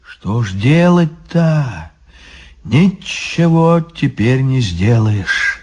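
A man voicing old grey-bearded monks who speak slowly, soothing and resigned. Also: mid-range voice, very animated, husky, pitched above the performer's own voice, full character voice.

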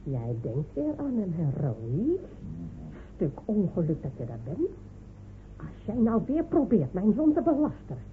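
An actress in a radio play voicing a scornful, sharp-tongued village woman who mocks and scolds. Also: medium pitch, wildly swooping pitch, measured pace. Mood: angry, threatening. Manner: mocking, scolding, rhetorical questions. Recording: phone-like, noisy old radio play recording.

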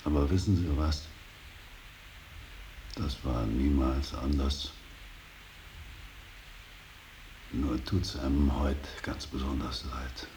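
An actor playing a stern, earnest older painter and professor in a radio play, preaching duty with emphatic, deliberate repetition. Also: male voice, steady tone, warm tone. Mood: brooding, regretful. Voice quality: gravelly, very deep. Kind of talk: German radio play acting. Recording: hissy radio drama recording.

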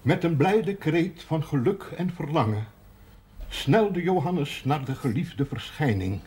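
An actor playing a gruff but caring man in a television drama, with a very husky voice and deliberate delivery. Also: on the high side, expressive, a little mellow, full character voice. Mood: tender, solemn. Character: gruff, caring man reading aloud to a sick companion.

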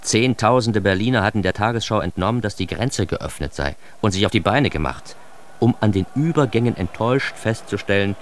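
Low-voiced, ironic East Berlin antihero. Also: male voice, slight background noise. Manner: ironic, mock-grand, addressing the listener. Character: cocky, self-mocking East Berlin man confessing his absurd tale. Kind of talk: first-person radio drama monologue.

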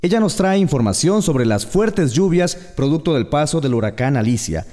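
A man's voice: a little high, lively intonation, normal speed, warm tone, in a large hall, close to an everyday voice. A man with a Costa Rican accent playing a local radio announcer interviewing a doctor in a radio drama. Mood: friendly. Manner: friendly interviewer tone. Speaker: radio host.

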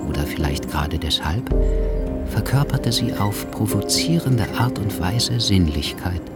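A man's voice: on the low side, expressive, measured pace, loud music behind the voice. A man reading scripted literary narration for a German radio drama. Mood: measured narration. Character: literary narrator of an exiled revolutionary's life.

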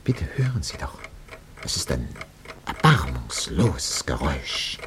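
Deep, theatrical radio announcer. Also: male voice, sing-song, unhurried, exaggerated voice. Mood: urgent, ominous. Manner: theatrical, mock-solemn. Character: fussy, flustered, melodramatic male radio announcer. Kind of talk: 1950s German radio drama narration, parody reportage. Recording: 1950s radio recording, faint noise.

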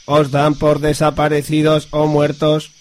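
A man playing a curt, pedantic Martian crewman in a comic radio serial, deadpan and robotic in manner. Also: a little high, measured pace, close to an everyday voice.

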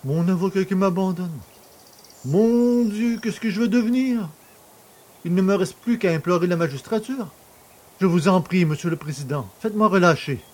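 Hapless poacher played by a man, slightly high voice, plaintive and self-pitying, audio drama. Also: moderate pace, darkish tone. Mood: pleading, indignant. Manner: plaintive, theatrical pleading. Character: hapless, pleading male poacher wronged by justice, self-pitying. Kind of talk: French audio drama acting.

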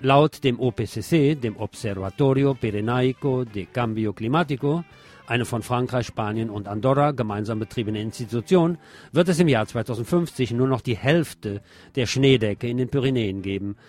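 A man's voice: medium pitch, moderate pace, darkish tone, soft background music. A man presenting scripted radio news commentary, with opinionated, wry asides.

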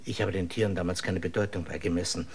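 A man playing a grave, cautious dissident scientist in a German radio drama, husky and measured. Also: low-pitched, steady tone, slight background noise. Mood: grave, confiding. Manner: measured, deliberate, serious.

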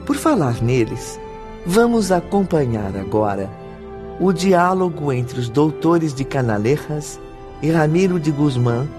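A man's voice: fairly high pitch, very animated, measured pace, music in the background. A Brazilian man narrating a radio drama about spirits in a formal, dramatic storytelling style. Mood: solemn, explanatory.

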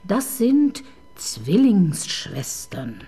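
An actress playing a sharp, bossy female chief inspector in a radio crime drama, ordering her assistant around with impatient sarcasm. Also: medium pitch, big pitch swings, very slow, full character voice. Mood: dry. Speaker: police detective chief inspector.

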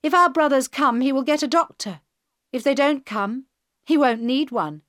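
A woman voicing an angry twenty-year-old female hijacker in a read-aloud story, her voice rising high and shrill. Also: animated, normal speed, fairly bright tone, dry recording, studio quality. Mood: mocking.

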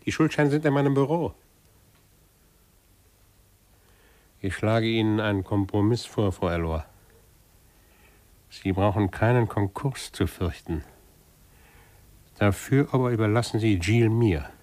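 A man's voice: on the low side, animated, normal speed, long pauses, darkish tone, natural voice. An actor playing a scheming, falsely fatherly middle-aged businessman in a 1950s German radio drama, unctuous and patronising. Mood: cold, domineering. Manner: unctuous, patronising, with smug chuckles.

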